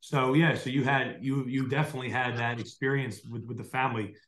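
A man's voice: mid-range voice, fairly even intonation, a little fast, fairly bright tone, reverberant. A young man hosting a podcast interview over a video call, talking with lots of fillers and restarts. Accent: South Jersey. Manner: rambling, many fillers and restarts. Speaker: podcast host. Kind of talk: casual interview. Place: dim home room at night. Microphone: large desk mic on video call.

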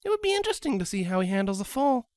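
A man voicing a young newlywed female pony soldier who is soft-spoken and playfully teasing in a story reading. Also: high-pitched, sing-song, rapid-fire, no echo, studio quality, pitched above the performer's own voice. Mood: playful, skeptical.

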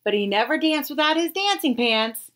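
A woman in her thirties reading a children's picture book aloud expressively, with dramatic pauses and emphasis. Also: high-pitched, sing-song, a bit bright. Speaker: read-aloud storyteller.

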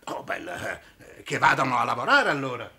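Pompous, sharp-voiced middle-aged landowner. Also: male voice, medium pitch, very animated, fairly quick, creaky, heavily acted. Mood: exasperated. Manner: blustering, pedantic, indignant. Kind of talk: 1960s Italian television drama acting. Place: studio set of a furnished room. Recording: noisy old television soundtrack.

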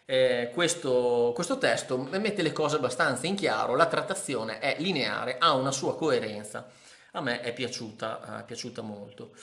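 Man, 30s, mid pitch, hesitant reviewer, roomy living room sound. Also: normal speed, gravelly, a bit bright. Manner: hesitant, many fillers and restarts, thoughtful. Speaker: ski vlogger reviewing a book. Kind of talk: casual spoken book review to camera. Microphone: camera in front of face. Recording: echoey room.

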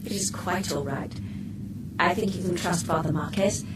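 A poised, playfully sly female artificial intelligence, acted by a woman in a radio play. She speaks in formal, exact, measured phrases. The sound is roomy and a little distant, with clear noise behind her. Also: on the low side, close to an everyday voice. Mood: precise, detached. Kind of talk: radio drama acting.

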